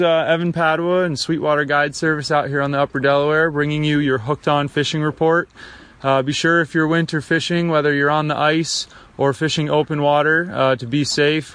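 A man's voice: a little high, fairly even intonation, normal speed, fairly bright tone. An American man, a fishing guide, speaking casually and unscripted as he gives a radio-style outdoor report. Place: outdoors on a river boat.

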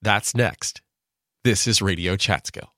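A man's voice: lowish voice, slow pace, heavy background noise. A man presenting a radio show as host, reading scripted introductions.

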